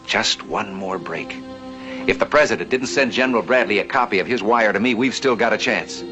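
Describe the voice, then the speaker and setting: A man's voice: on the low side, a little fast, dull audio, over music, natural voice. An American man playing a determined, scheming banker in a vintage television western, earnest and persuasive. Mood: excited, plotting. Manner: earnest, persuasive, slyly flattering. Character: determined, scheming male banker in the Old West.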